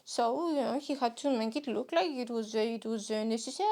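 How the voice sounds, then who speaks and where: A woman's voice: on the high side, brisk, a little mellow. A young woman from Greece with a Greek accent, hosting a podcast in a rambling, self-mocking comic monologue. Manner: rambling, self-mocking, jumps into silly voices. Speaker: podcast host.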